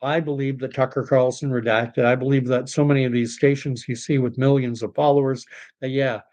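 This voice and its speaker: A middle-aged man hosting a late-night livestream, rambling and opinionated as he reads and answers viewer chat, his voice tired and getting hoarse. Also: mid-range voice, level tone, brisk, darkish tone. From Canada. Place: home streaming setup.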